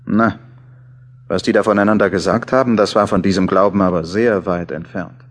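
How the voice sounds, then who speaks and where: Here is a thoughtful journalist in a radio play. His voice is low and somewhat rough and breathy. He muses through his diary, questioning himself with mild doubt. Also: steady tone, fairly quick. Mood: reflective, sobered. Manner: musing, self-questioning. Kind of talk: German radio play monologue.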